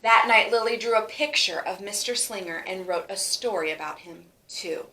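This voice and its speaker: A woman reading a children's story aloud in an animated way, stretching words for emphasis. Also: mid-range voice, moderate pace. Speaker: storybook reader. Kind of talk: expressive children's read-aloud. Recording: roomy, echoing sound.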